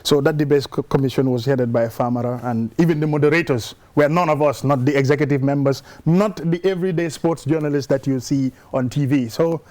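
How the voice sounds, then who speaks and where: A man's voice: on the high side, fairly even intonation, fairly quick, hardly any pauses, a little mellow, dry recording. Gambian man explaining in a dry studio sound. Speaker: sports journalist, show guest. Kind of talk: conversational sports discussion.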